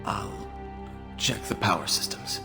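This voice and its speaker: A man voicing a weary, grateful alien freed from captivity in a video game, gravelly and subdued. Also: fairly low pitch, unhurried, over music. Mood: gentle. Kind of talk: scripted video game voice acting.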